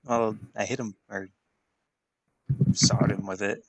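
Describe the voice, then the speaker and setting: A man with a lowish voice takes part in a tabletop game as a player. He talks casually about his moves, and the sound is dry with hardly any room echo. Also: steady tone, takes it slowly, slightly rough.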